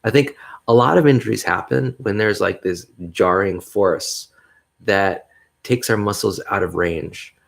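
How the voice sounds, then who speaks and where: A man hosting a solo podcast, talking in a mellow bass voice, upbeat and rambling, with frequent chuckles. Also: unhurried, gravelly. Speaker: podcast host. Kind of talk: casual solo podcast monologue.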